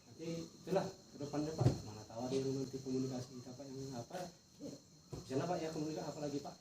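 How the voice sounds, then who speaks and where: A man's voice: medium pitch, fast talker. A male teacher with a North Sumatran Indonesian accent talks informally in a coaching chat, hesitating and repeating phrases. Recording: distant echoey noisy recording, insect chirps.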